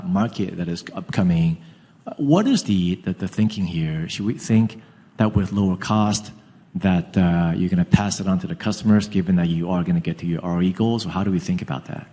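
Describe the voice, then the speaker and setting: A man asking an analyst's questions on a conference call, unscripted and halting, rephrasing as he goes. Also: low-pitched, dark tone, in a large hall.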